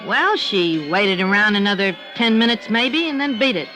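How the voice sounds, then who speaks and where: A woman's voice: mid-range voice, animated, normal speed, fairly bright tone, lightly acted. An actress playing a curt, defensive young woman who works an arcade change booth in a 1950s radio drama, with music under the scene. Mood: guarded. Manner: curt, guarded answers.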